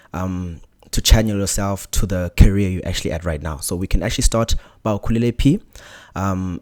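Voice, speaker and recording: A young South African man hosting an interview podcast, speaking hesitantly with many restarts. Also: fairly low pitch. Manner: hesitant, many ums and restarts, soft-spoken. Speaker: podcast host.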